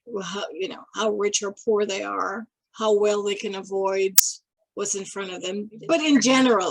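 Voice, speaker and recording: A middle-aged woman from Guyana speaking in a halting, thoughtful way, pausing and restarting as she reflects. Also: medium pitch, no echo, poor audio. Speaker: interviewee. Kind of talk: casual reflective talk.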